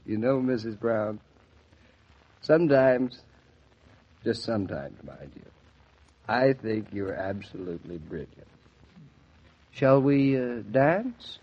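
A wry young husband with a husky voice and affectionate, bantering delivery. It is 1940s radio drama, muffled by a vintage recording. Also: male voice, on the low side, expressive, slow pace, pauses often. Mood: playful. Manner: dry, bantering.

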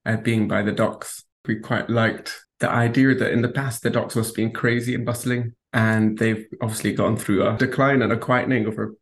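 A man architect calmly explaining his bench design with measured phrasing and small fillers. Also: on the low side. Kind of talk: interview answers.

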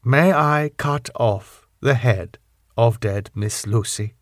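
A man voicing a stern, solemn elderly foreign doctor who pleads gravely in an audiobook story. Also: mid-range voice, slow, no echo, studio quality, pitched below the performer's own voice, lightly acted. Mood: solemn, cryptic.

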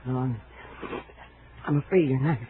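A woman's voice: extremely low pitch, normal speed. An actress playing a frightened young chorus girl who pleads tearfully in a 1940s radio suspense drama. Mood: nervous coaxing. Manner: tearful, breathy pleading, emotionally heightened. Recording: narrow, scratchy 1940s radio recording.

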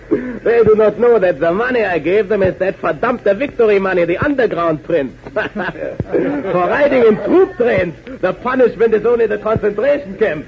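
An actor playing a sneering, bullying army captain with a German accent, barking contemptuously in 1940s radio drama style. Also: male voice, high voice, lively intonation, normal speed, creaky. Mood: gloating, cruelly amused. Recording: noisy, muffled 1940s radio recording.

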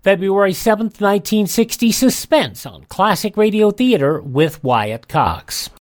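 Higher-pitched male radio host. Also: very animated, unhurried, faint music behind. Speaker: radio show host, announcer. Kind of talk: scripted broadcast announcement.

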